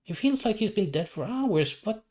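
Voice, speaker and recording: Guy doing an alarmed er doctor in a story, pitched up, clipped and baffled. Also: very animated, normal speed, darkish tone. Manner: clipped, urgent. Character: alarmed, baffled hospital doctor on a crash team.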